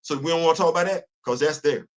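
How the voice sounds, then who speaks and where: A man in his fifties hosting a casual livestream, talking in an animated, preachy way, often repeating words and restarting his sentences. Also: a little high, rapid-fire. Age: 50s. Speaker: host of a commentary livestream. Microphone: phone.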